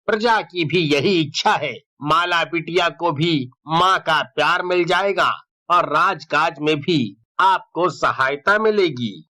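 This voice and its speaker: A man's voice: a little high, slow, pushed and bright. A man voicing a respectful royal minister in a cartoon fairy tale, formal, earnest and deferential.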